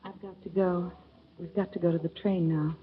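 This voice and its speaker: Casting: a woman as a gentle, shy young sweetheart, thin tinny vintage radio sound. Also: lowish voice, level tone, moderate pace, lightly acted. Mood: tender. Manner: soft, gentle, wistful. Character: shy young woman in love, soft-spoken. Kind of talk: 1930s radio drama acting. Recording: thin phone-like old radio recording.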